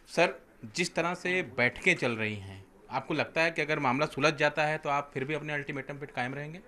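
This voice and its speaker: A man's voice: on the high side, normal speed, sharp tone. A man working as a TV news reporter, delivering a field report and interview questions in a formal reporting manner.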